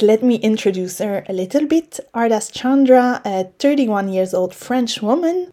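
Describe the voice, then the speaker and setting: A woman hosting a podcast, gently and enthusiastically reading out her guest's introduction with slightly non-native phrasing. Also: fairly high pitch. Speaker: podcast host.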